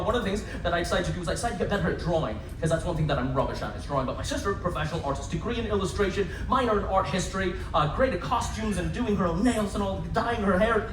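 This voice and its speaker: A man's voice: high-pitched, background noise. A man with a Scottish accent doing a chatty, self-mocking stand-up set on a cruise ship. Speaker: comedian. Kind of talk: stand-up comedy. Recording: echoey theater from far off, loud crowd. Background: audience laughter.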